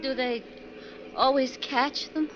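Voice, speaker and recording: A woman's voice: high voice, fairly even intonation, slow. A woman acting an anxious, polite young wife on a bus in an old-time radio drama, speaking hesitantly. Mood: troubled. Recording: dull, faintly noisy old radio recording.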